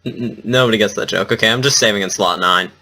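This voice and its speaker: A man's voice: on the low side, fast, bright, forceful tone. Self-deprecating man doing casual game commentary. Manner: self-deprecating, awkward, rambling. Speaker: let's player. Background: game music.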